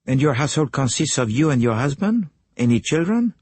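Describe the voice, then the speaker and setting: Narrator playing a polite sleuth, formal and probing, husky, lively delivery. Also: male voice, medium pitch, fairly quick, dry recording, voice pushed up. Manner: formal, businesslike, probing questions. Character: courteous, precise foreign male detective, middle-aged.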